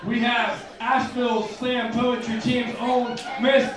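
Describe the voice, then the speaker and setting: A man hosting a poetry slam, shouting hyped introductions to the crowd. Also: extremely high pitch, completely flat delivery, slow, recorded from a distance. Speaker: slam host. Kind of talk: event announcing. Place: bar stage venue, indoors. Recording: echoey, noisy room from afar.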